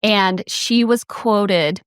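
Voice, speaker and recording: A woman's voice: medium pitch, very slow, clear, resonant tone, no echo. A woman co-hosting a podcast, friendly and self-deprecating, moving between easy chat and explaining research. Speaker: podcast co-host. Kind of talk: casual chat and reading out research.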